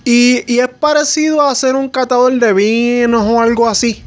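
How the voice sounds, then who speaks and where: A man's voice: very high voice, level tone, moderate pace. Casual man, slightly muffled. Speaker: interviewer, host. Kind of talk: casual interview conversation.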